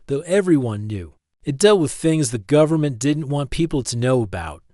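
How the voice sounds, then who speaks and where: A man reading a scripted story as an audiobook-style narrator, in a measured, dramatic storytelling manner. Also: mid-range voice, no echo, studio quality.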